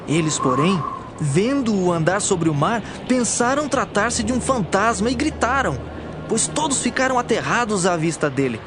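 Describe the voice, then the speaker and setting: Higher-voiced male Bible narrator. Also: very animated, moderate pace, background noise. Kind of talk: scripted dramatized Bible narration. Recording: clear music bed behind.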